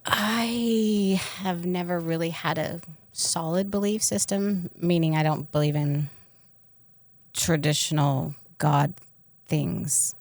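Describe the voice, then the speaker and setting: Low-voiced woman, irreverent and frank. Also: slow pace, a little mellow, dry recording. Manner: irreverent, bawdy, joking frankness. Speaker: podcast co-host. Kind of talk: candid casual chat, personal storytelling.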